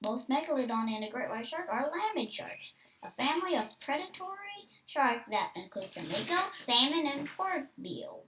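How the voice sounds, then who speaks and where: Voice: sits high, very animated, moderate pace, recorded from a distance, noisy background. A nine-year-old child hosting a read-aloud, with casual asides and some stumbles on hard words. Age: child, nine years old. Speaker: host reading a book aloud.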